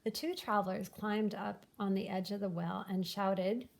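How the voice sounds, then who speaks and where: Female storybook narrator, mid-pitched with noticeable vocal fry, for a children's folktale. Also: steady tone, normal speed, a little hiss or hum. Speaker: storybook reader.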